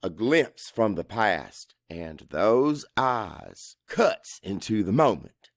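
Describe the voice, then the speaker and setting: An actor playing a crude, hot-tempered Cajun father who narrates his own story in a gruff, expressive audiobook style. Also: lowish voice, slow pace, no room sound, studio quality, full character voice. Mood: brooding. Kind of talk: first-person dramatic audiobook narration.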